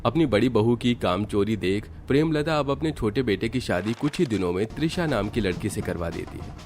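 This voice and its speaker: A man reading scripted narration for an animated story. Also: on the low side, a little fast, slight background noise.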